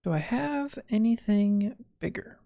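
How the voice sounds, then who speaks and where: A man's voice: high-pitched, lively intonation, unhurried, gravelly, dark tone. A man giving casual, joking gameplay commentary, muttering and repeating phrases to himself. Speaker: game streamer.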